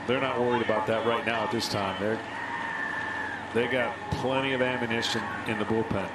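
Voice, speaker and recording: A man's voice: medium pitch, steady tone, moderate pace. A man working as a color analyst on a baseball broadcast, explaining the game and repeating points for emphasis. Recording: roomy sound with very loud background noise.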